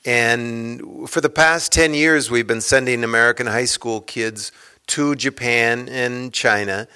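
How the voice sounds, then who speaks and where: A man's voice: medium pitch, fairly even intonation, slow, pushed and bright, clear, resonant tone. A man, an author, speaking to an audience about his book in a loose, rambling way with many fillers and restarts. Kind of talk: casual lecture talk with audience Q&A.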